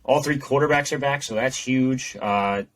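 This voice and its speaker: A man's voice: medium pitch, normal speed, fairly bright tone. Man with a nasal, stuffed-up voice. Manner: rambling, repeats himself. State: congested with a head cold. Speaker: podcast co-host. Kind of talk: casual sports chat.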